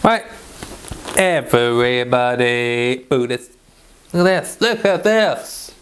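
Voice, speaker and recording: A man's voice: mid-range voice, animated, slow, a bit bright, clean tone. A man leading an informal Bible read-along, reading scripture aloud and giving chatty devotional commentary.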